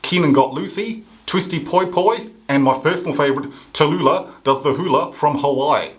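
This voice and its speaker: Man from Africa, comedy host, sarcastic satirical monologue with punchy mock outrage, voice sits a touch high. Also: moderate pace.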